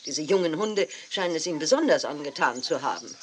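A woman's voice: low-pitched, expressive, a little fast. An actress playing a kindly, instructive woman dog breeder in a 1980s children's audio play, explaining things expressively. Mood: concerned.

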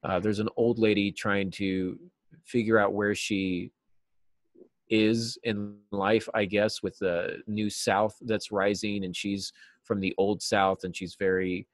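Low-voiced man explaining his craft. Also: flat delivery, slow, no echo. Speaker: writing teacher and novelist, interview guest. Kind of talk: explanatory interview talk. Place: small cluttered office, video call.

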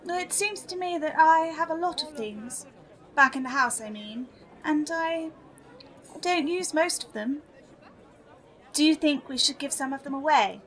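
Young girl adult actress: high-pitched, unhurried, earnest and repentant, sweetly polite audio drama role. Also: animated, some chatter behind, poor audio. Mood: thoughtful, kind.

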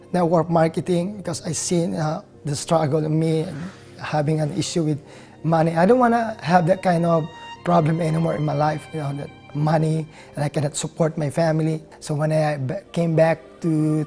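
A man in his thirties from the Philippines with a Filipino accent, telling his own story in an interview, earnest and halting with many fillers. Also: on the high side, steady tone. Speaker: nurse turned network marketer. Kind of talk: interview testimonial. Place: living room, seated on a leather couch. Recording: soft music under the voice.

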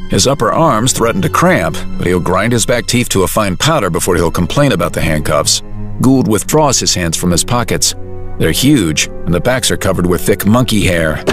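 A man narrates a dramatised story in a lowish, very expressive voice. His delivery is wry and colloquial, with music underneath. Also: moderate pace. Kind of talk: dramatised audiobook narration in present tense.

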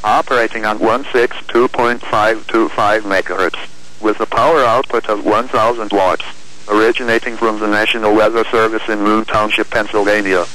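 Male station announcer, slightly low voice, formal scripted read for weather radio. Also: fairly even intonation, normal speed, sharp tone, poor audio.

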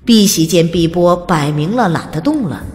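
A woman's voice: lowish voice, lively intonation, normal speed, a little mellow, in a large hall. Woman narrating a storybook in lilting tones. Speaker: audiobook narrator.

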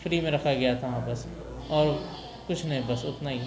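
A middle-aged man giving clinic information haltingly, mixing in English terms. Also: mid-range voice, crowd noise. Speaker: clinic doctor. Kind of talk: informational statement to camera. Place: small indoor consulting room. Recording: echoey small clinic room.

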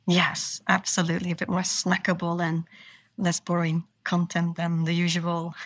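Woman from Belgium, lowish pitch, dry close sound, enthusiastic podcast guest. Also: monotone, moderate pace, no echo. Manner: enthusiastic, earnest, with small restarts. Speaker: procurement manager, guest. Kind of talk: podcast interview answers.

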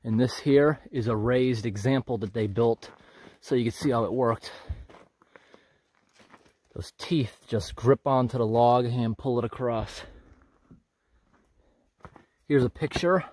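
Um homem gravando com câmera de mão enquanto caminha por uma trilha na floresta, comentando de forma descontraída e observadora o que encontra. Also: male voice, medium pitch, takes it slowly, pauses often, no room sound. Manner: relaxed, observant, thinking aloud. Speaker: hiker filming a walking tour. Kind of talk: casual walk-and-talk narration. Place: outdoors on a forest trail. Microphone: handheld camera.

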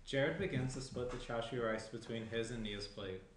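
A man's voice: mid-range voice, moderate pace, a crowd in the background. A young man reading stage directions as the narrator of a screenplay table read. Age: young adult. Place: small indoor room around a table. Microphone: shared tabletop mic, some distance away. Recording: echoey room, off mic.

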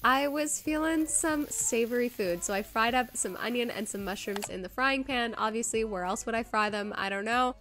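A woman's voice: fairly high pitch, fairly bright tone. A woman in her twenties, nasal from a cold, chatting casually as a vlogger at home. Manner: chatty, bubbly, says "like" a lot. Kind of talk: casual voice-over narration. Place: home kitchen, indoors.

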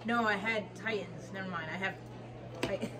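A woman's voice: mid-range voice, moderate pace, sharp tone. A woman shopkeeper chatting casually in short answers, a little away from the microphone. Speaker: shop keeper. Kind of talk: casual chat. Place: indoor movie shop. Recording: off-mic with clear shop noise.

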